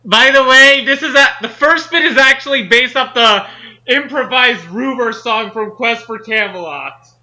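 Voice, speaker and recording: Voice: mid-range voice, moderate pace, bright, forceful tone. A co-commentator adding casual side remarks and explanations in a conversational way.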